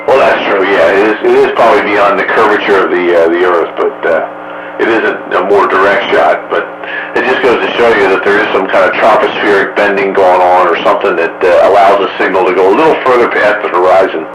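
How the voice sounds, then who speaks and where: A man's voice: sits low, brisk. A man chatting casually as an amateur radio operator, heard over a two-way radio. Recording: echoey, noisy two-way radio.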